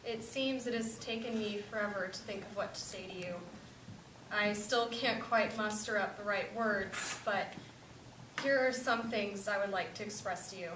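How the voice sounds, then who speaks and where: Actress as middle-aged birth mother, earnest, regretful, stage monologue, echoey room, clear background noise. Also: mid-range voice, close to an everyday voice. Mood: wistful, earnest. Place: indoor stage, mic far off. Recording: roomy, distant stage recording with hiss.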